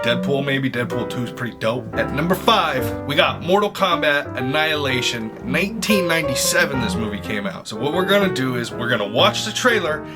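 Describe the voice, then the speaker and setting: A man hosting a casual reaction video, commenting in loose, slangy, sweary talk as if chatting with buddies. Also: medium pitch. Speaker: YouTube reaction host, streamer.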